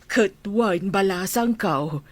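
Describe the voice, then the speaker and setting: A woman's voice: mid-range voice, slow, no echo, lightly acted. A woman with an Ilocano accent acting a motherly, bossy neighbor in a radio drama. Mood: brisk, motherly.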